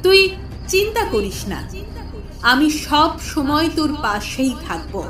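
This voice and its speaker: A woman's voice: sits high, very animated, slow pace, exaggerated voice. A woman playing a benevolent mother goddess, tender and serene, speaking with solemn affection in an audio story. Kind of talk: mythic audio story acting. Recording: echoing voice under loud music.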